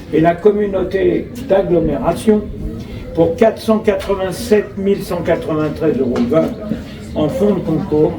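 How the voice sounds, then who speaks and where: A man with a French accent addresses an outdoor gathering as a local official. His voice is high, and his phrasing is formal and measured, read from notes with off-script asides. The sound is echoey and picked up from a distance. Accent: French from France. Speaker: local official giving a speech. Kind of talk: inauguration speech, read from notes with ad-libbed asides. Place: outdoor school forecourt, public address. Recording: roomy echo, distant mic, clear background noise.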